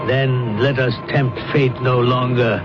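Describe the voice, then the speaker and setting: A man's voice: mid-range voice, fairly even intonation, slow pace, lightly acted. Man acting formal, calculating official, muffled radio drama. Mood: formal, persuasive. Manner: formal, stately. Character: smooth-talking, calculating male official sending a captain off. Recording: lo-fi radio broadcast under loud music.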